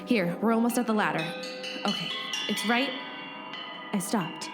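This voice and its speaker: A woman's voice: medium pitch, moderate pace, natural voice. A woman playing an earnest, determined heroine, narrating her rescue dramatically in a comedic audio drama. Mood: urgent, hushed. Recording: loud music bed underneath.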